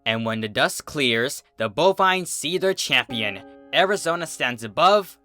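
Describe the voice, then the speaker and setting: Male story narrator, dramatic delivery, bright forceful voice, very lively pitch, scripted reading, music underneath. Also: a little slow, dry recording. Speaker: fan fiction reader, narrator.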